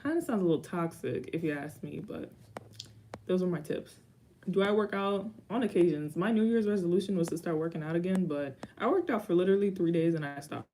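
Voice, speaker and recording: A woman's voice: lowish voice, brisk, dark tone, a little hiss or hum. A young woman in her twenties answering viewer questions in a rambling, casual vlog chat with dry asides. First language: Haitian Creole. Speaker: YouTuber.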